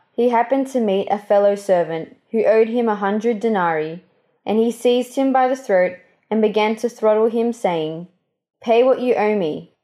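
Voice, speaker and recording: A woman reading a Gospel passage aloud in a measured, formal way. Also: mid-range voice, warm tone. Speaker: scripture reader.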